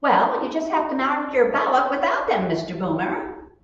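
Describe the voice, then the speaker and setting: An actress playing a sensible, polite, gently scolding wife in an old-time radio comedy. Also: female voice, sits high, very animated, normal speed. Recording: echoey room, distant mic, noisy, dull.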